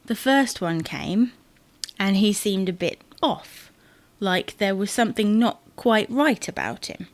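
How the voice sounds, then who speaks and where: A woman's voice: mid-range voice, animated, unhurried. A woman playing a stubborn, exasperated wife in a domestic comedy audio drama, indignant and matter-of-fact. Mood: puzzled, uneasy.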